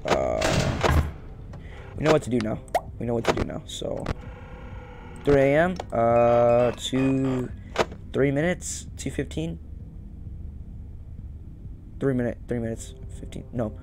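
Young guy playing a game, lively swoopy voice w some fry, nervous, repeats himself, game music behind. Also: medium pitch, slow, pauses often, noisy background. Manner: nervous, repeats words and phrases in muttered strings. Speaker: gaming video host. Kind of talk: casual play-by-play game commentary. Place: indoor room at home.

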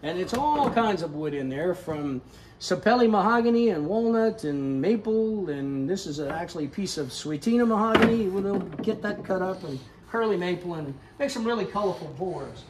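A man's voice: high voice, lively intonation, normal speed, noisy background. Chatty older man touring a wood shop. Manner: chatty, explanatory, joking. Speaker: woodworking school owner. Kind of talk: casual shop tour talk. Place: large woodworking shop, indoors. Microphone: camera held by interviewer.

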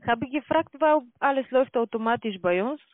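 A non-native German speaker with a foreign accent making a formal, halting sales call as a call centre agent. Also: medium pitch, animated, no room sound. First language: not German. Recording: tinny dry phone line.

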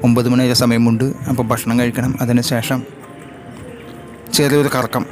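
A man with a Malayali accent narrating a travel vlog slowly and in measured, short separated phrases. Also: mid-range voice, little pitch movement. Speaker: vlogger. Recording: loud music over the voice. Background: loud music.

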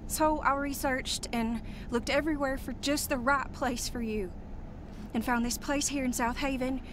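A woman's voice: sits high, steady tone, moderate pace, noisy background, close to an everyday voice. An actress playing a loving, worried mother with a Southern American accent, talking to her grown son in a radio drama. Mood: stern pleading. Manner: pleading, emotional.

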